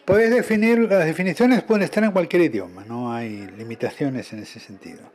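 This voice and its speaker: A man's voice: fairly high pitch, fairly quick, a little mellow. A man interpreting a conference talk live, following the speaker in short phrases and correcting himself as he goes. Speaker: simultaneous interpreter.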